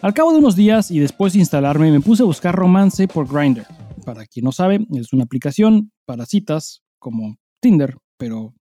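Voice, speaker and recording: A man's voice: on the high side, big pitch swings, normal speed, warm tone, soft background music. A man with a Mexican accent co-hosting a casual podcast, talking in loose, sweary slang as he chats and reads listener mail. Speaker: podcast co-host.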